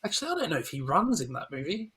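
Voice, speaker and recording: A man's voice: fairly high pitch, sing-song, very fast, a crowd in the background. A middle-aged man in casual, opinionated conversation as a podcast co-host, informal and dryly humorous. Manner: opinionated, informal, dry humour. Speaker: podcast co-host reviewing films. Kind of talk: casual film chat.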